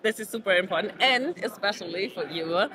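A woman's voice: medium pitch, animated, a little fast, sharp tone. A woman in her thirties hosting a podcast interview in a casual, chatty way, leaning on words like "super" and "like". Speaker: podcast host. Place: busy indoor conference venue. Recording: conference crowd chatter behind.

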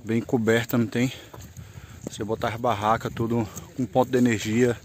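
A man's voice: medium pitch, little pitch movement, normal speed, darkish tone. A man with a northeastern Brazilian, chats his way through a woodland campsite, pointing things out as he walks. He holds the camera himself outdoors, and faint insects and birds hum in the background. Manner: chatty, pointing things out, loose and rambling. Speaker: moto travel vlogger. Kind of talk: casual vlog tour narration. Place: outdoors at a rural campsite by a river and woods.

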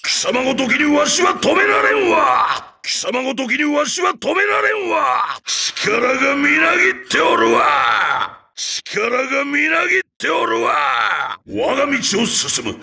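A ruthless, arrogant old martial-arts master from a fighting game, who barks his taunts in a gravelly, husky rasp. His voice rides high and strained and swings wildly in pitch. He drags his words out slowly between sudden shouts. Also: wildly swooping pitch, exaggerated voice. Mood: boastful. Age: older. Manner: barks short commands and taunts, booming laugh. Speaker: video game character. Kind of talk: fighting game voice lines and battle cries.

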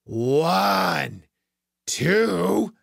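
Storybook dad, played by a woman narrator: casual, hesitant yet encouraging, lively intonation. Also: fairly low pitch, extremely slow, gravelly, husky, dry recording, clean studio sound, exaggerated voice. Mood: cautious. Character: game, slightly nervous dad of a young boy.

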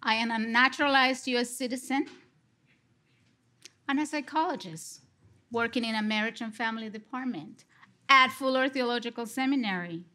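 A middle-aged woman from Colombia with a Colombian Spanish accent, giving an expressive conference response from a lectern on a large stage, speaking into a headset mic. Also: on the high side. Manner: expressive, gesturing, slow and deliberate. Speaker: lecture respondent, psychology professor.

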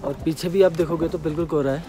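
A man's voice: fairly high pitch, fairly even intonation, quick pace, over loud music. A man in his twenties hosting a casual travel vlog outdoors on a misty mountain trail. He talks straight to his viewers and asks his companions questions, with the camera held at arm's length on a selfie stick. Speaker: motovlogger host. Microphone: handheld camera on a selfie stick at arm's length. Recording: loud wind noise on camera mic. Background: loud wind and outdoor noise.

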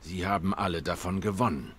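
Low, stoic cat detective. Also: male voice, fairly even intonation, fairly bright tone, slightly rough. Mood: stern. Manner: measured, serious, terse. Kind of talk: cinematic noir game acting.

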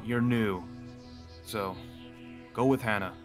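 A man's voice: lowish voice, takes it slowly, faint music behind. A man playing a serious, commanding werewolf pack leader in a fantasy roleplay, speaking firmly and decisively. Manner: firm, decisive, grave. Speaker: roleplay performer. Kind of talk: improvised fantasy roleplay acting.

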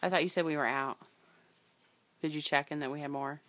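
Low-voiced mother playing restaurant customer. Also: fairly even intonation, fairly quick. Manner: playful mock-serious, gently teasing. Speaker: mother filming her children. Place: living room at home. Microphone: phone camera held by speaker off screen.

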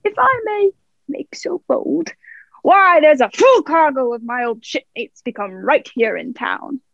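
A young woman playing a genial, flattering old one-legged sea cook in a radio play, with folksy, deferential sailor talk. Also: sits high, unhurried, creaky, no echo, lo-fi sound, heavily acted. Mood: deferential, persuasive.

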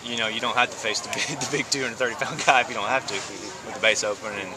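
Fast-talking young pitcher in a ballpark. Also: male voice, steady tone, rough recording. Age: young adult. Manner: easygoing, chuckles between answers. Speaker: college baseball pitcher. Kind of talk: postgame interview. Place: outdoor ballpark at night. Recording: outdoor crowd noise behind.

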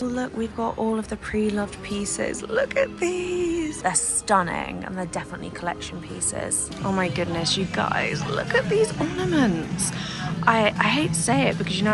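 A young woman chatting to her camera as she shops, gushing over what she sees. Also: medium pitch. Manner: gushing, chatty, talking to camera while walking. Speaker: vlogger. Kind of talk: casual shopping vlog chat. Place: busy city street and shops, outdoors and indoors. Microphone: handheld camera at arm's length. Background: music.

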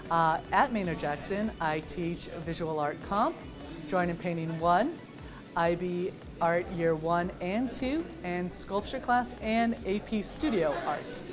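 A woman in her forties, an art teacher who grew up in New York City with Estonian as her first language, talking in a friendly, chatty way in a classroom. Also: lowish voice. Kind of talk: interview, casual talk to camera.

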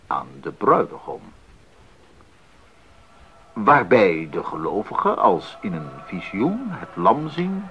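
An actor playing an earnest, enthusiastic Baroque composer in a 1980s radio drama, speaking deliberately and expressively with thoughtful pauses. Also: male voice, mid-range voice, fairly bright tone, husky, exaggerated voice. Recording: muffled 1980s radio recording.

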